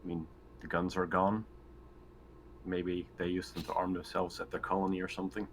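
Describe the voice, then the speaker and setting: A man with a deep voice plays a tabletop game over an online call. He talks casually with the other players, with faint noise behind him. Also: flat delivery, moderate pace. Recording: faintly noisy online voice chat.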